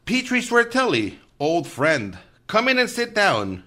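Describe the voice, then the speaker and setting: A man's voice: sits high, wildly swooping pitch, measured pace, background noise, exaggerated voice. A man playing a blustery, emphatic FBI special agent in a radio mystery.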